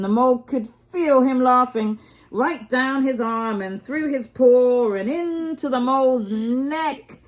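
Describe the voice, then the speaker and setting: A woman storyteller reading a children's storybook aloud expressively, dwelling on key words for dramatic emphasis. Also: a little high.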